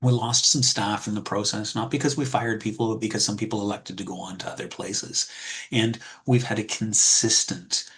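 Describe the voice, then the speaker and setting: A lowish, slightly husky male voice, speaking calmly over a computer mic on a video call. He walks through his points steadily and takes many small pauses and restarts. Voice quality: husky, soft. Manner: calm, measured, with frequent ums and restarts. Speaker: insurance wholesaler presenting a webinar. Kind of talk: webinar presentation with screen share.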